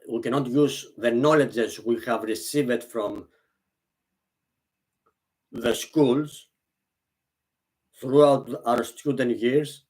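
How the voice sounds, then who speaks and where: A man whose first language is Greek, speaking English slowly and earnestly to the camera. He often halts, repeats himself and restarts his phrases. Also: medium pitch. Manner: halting, repeats and restarts phrases, non-native grammar. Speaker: vlogger. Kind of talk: slow, earnest monologue to camera.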